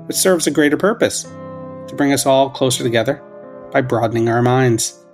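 A man's voice: medium pitch, normal speed, darkish tone. Male podcast host, scripted narration, playful storyteller, guitar music underneath. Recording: music bed behind voice.

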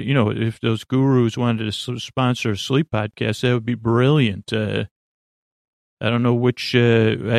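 Creaky-voiced man rambling at bedtime. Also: medium pitch, no room sound, clean studio sound. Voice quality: creaky, lulling. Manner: meandering, halting, stammering asides. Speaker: sleep podcast host.

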